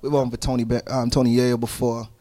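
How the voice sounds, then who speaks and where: A man's voice: mid-range voice, level tone, fast talker, mellow. A man from Brooklyn, a rapper guesting in a studio, talking confidently in hype street slang. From Brooklyn, New York.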